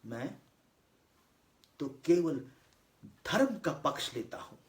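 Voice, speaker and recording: A man performing a theatrical monologue as a solemn god, declaiming deliberately with weighty pauses in formal speech. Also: fairly high pitch, very animated. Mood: solemn, firm. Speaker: monologue actor. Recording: roomy, echoing voice recording.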